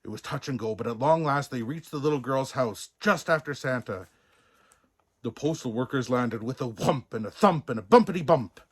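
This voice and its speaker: A man narrating a children's story as a storybook reader, expressive, playful and theatrical, relishing the sound words. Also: mid-range voice, normal speed, breathy edge. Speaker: storybook reader in a Santa persona.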